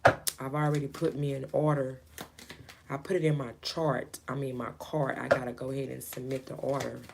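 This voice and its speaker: A woman giving a personal tarot reading in a low, light, calming voice, talking gently to spirit and to her client without a clip-on mic. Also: moderate pace, vocal fry, heavy background noise. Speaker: tarot reader. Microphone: camera mic, no lapel mic.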